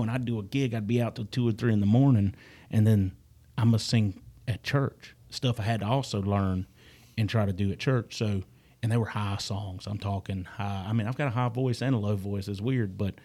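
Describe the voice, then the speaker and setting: A man's voice: lowish voice, dark tone, slightly rough. A man talking as a guest musician in a casual podcast chat, earnest and often stumbling over his words. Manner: halting, repeats words, earnest.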